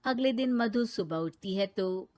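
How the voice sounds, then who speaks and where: A woman's voice: medium pitch, expressive, unhurried, a little mellow. A woman narrating a scripted children's storybook tale.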